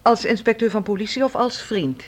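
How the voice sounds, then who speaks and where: An actress playing a sharp-tongued, bossy woman in a radio play, brisk and sardonic. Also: fairly high pitch, lightly acted. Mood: sarcastic, challenging. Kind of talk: Dutch radio play dialogue.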